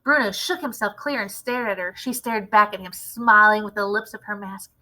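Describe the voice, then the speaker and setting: A woman reading a children's story aloud as an expressive storyteller. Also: medium pitch, moderate pace, low-quality recording. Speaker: read-aloud storyteller, teacher.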